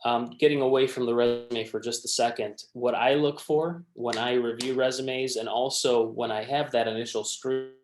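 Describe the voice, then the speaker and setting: A man's voice: medium pitch, steady tone, normal speed, hardly any pauses, reverberant, a little hiss or hum, rough recording. A man who was raised in Michigan, a recruiter giving a friendly, casual resume workshop to students, with many fillers and restarts. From born in Romania, raised in Michigan.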